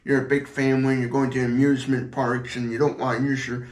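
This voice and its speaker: A man giving a casual product demonstration in a halting, repetitive way, explaining as he goes. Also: mid-range voice, little pitch movement. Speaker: product reviewer. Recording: echoey room.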